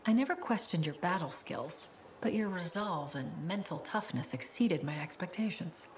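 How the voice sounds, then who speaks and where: A woman's voice: lowish voice, expressive, moderate pace, a little hiss or hum, natural voice. A woman playing a stern but appreciative mentor, formal and no-nonsense, in naturalistic video game acting. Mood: earnest approval.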